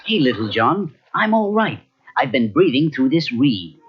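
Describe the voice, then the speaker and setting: Lively cartoon fox hero. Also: male voice, mid-range voice, a little slow. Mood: confident, reassuring. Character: brisk, confident young male cartoon fox hero. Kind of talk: animated film character acting. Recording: muffled old cassette, music behind.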